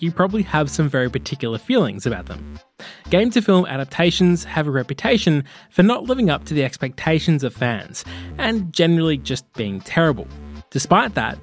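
A man presenting as host, moving between scripted narration and interview questions. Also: a little high.

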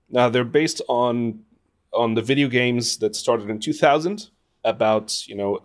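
A man giving thoughtful, opinionated answers as an interview guest, speaking conversationally and with emphasis. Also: mid-range voice. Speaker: interview guest, video game composer and radio presenter.

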